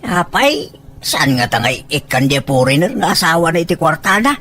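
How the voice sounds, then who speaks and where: A woman's voice: deep voice, very animated, moderate pace, fairly bright tone, a little hiss or hum. A woman with a Filipino accent playing a boastful, money-minded older parent in an Ilocano audio drama, pushy and full of exclaimed questions. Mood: nagging.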